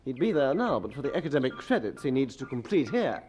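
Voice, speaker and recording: An actor playing an approving, good-humoured schoolmaster in a radio drama, speaking in a formal, measured way. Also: male voice, medium pitch, sing-song, a little mellow, lightly acted. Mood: earnest, pleased.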